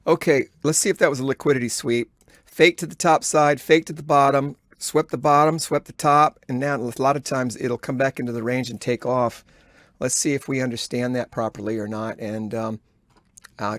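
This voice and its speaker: A man giving live day-trading commentary, thinking aloud in a halting, instructive way as he talks to himself and viewers. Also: mid-range voice, steady tone, no room sound. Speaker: day trader recording a trading journal.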